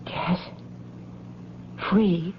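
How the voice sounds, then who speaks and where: Slow, fragile, tormented woman. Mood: desperate hope. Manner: hesitant, fragile, pleading. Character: tormented, broken woman confessing a crime of passion. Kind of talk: 1970s radio drama acting. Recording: muffled, hissy vintage radio recording.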